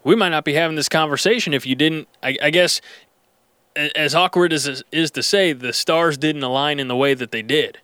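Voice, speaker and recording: A male host with a smooth, resonant voice, pitched a little higher than most men. He asks long, halting questions full of restarts and fillers. The recording is close and dry, with no room sound. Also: sharp tone, no room sound. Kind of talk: interview questions.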